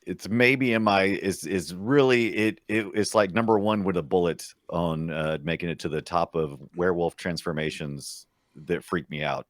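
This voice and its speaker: A man's voice: low-pitched, moderate pace, no room sound, studio quality. A man chatting casually about films as a podcast guest, telling it expressively with little acted asides. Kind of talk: casual movie discussion.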